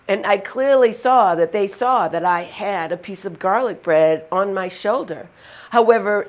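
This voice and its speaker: A woman with a Boston accent telling funny personal stories to the camera in a chatty, amused way. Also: medium pitch. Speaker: vlogger. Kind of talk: casual storytelling to camera.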